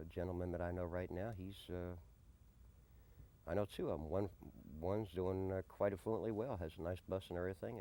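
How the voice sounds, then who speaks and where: A man being interviewed in a conversational documentary, speaking deliberately and often repeating a question back before answering. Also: deep voice, mellow. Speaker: interviewee, desert camp resident and odd-job handyman. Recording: noisy early-90s amateur documentary tape.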